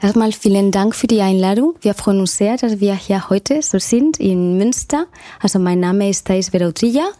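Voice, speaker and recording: A woman with a Spanish accent speaks as an interview guest, answering without a script. Her tone is mellow and relaxed at medium pitch, recorded dry. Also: moderate pace, no room sound. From Spain. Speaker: managing director, interview guest.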